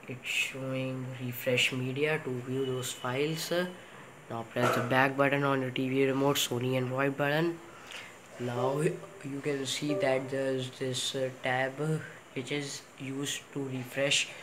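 A man with an Indian accent giving an unscripted step-by-step tech demonstration in a halting, repetitive way. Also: mid-range voice, flat delivery, background noise. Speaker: tech tutorial presenter. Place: indoor room in a home, in front of a TV.

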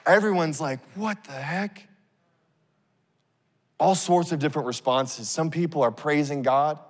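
A man in his thirties preaching on a church stage into a handheld mic, telling a story in a casual, animated way. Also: on the high side, unhurried. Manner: animated, acts out scenes, casual fillers. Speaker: pastor. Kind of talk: sermon storytelling. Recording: long reverb of a big hall.

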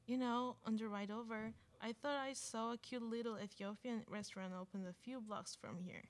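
High-voiced peacemaking mom acted by a young woman. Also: fairly even intonation, normal speed, natural voice. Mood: pleasant. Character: polite, peacemaking middle-aged mom and wife. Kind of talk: live radio theater acting, scripted. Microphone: stand microphone up close.

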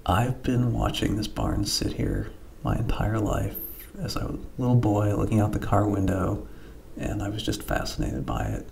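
A man's voice: lowish voice, very animated, moderate pace, vocal fry, a little mellow, slight background noise. A man in his forties hosting his own vlog, speaking plainly and earnestly, with ums and restarts. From Ohio, United States. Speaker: channel host, property developer. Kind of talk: casual vlog narration. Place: outdoors beside the barn. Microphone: camera held at arm's length.

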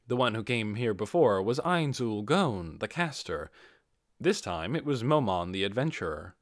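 A man's voice: lowish voice, very animated, moderate pace, no echo, studio quality. A man narrating a fantasy audiobook from a written script.

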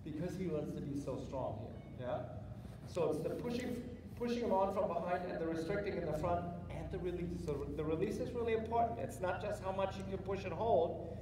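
A man's voice: sits high, brisk. A middle-aged man coaching a rider live, giving short encouraging instructions and patient explanations. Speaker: riding clinic instructor. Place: large indoor riding arena. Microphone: spectator's camera at some distance. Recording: echoey hall, distant mic, loud background noise.

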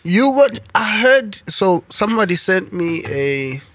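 A man's voice: sits high, very animated, unhurried, fairly bright tone, no echo. A man in his thirties hosting a casual podcast, reminiscing in loose, slangy talk with his guest. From South Africa. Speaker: podcast host.

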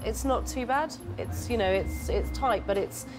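A woman's voice: a little high, normal speed. A woman who owns a pub, talking in a chatty, self-deprecating way with restarts. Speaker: pub owner. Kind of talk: casual reality TV talk. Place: busy pub dining room. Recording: music bed underneath.

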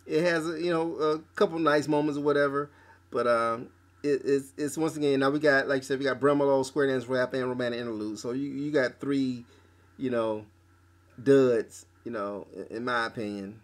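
A man hosting a casual album review podcast, talking haltingly with lots of fillers and restarts. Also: medium pitch, steady tone.

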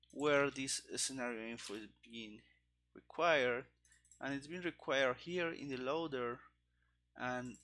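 Slow, bright-voiced man thinking aloud. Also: mid-range voice. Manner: halting, muttering to himself while working. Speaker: programmer, host of coding stream. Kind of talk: live coding commentary, thinking aloud. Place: indoor room at a computer desk. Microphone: headset. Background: keyboard typing and clicking.

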